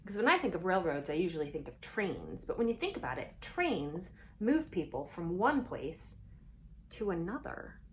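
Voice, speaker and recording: A woman reading a children's storybook aloud expressively, pausing for conversational asides and questions to young listeners. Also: fairly low pitch, big pitch swings, slight background noise.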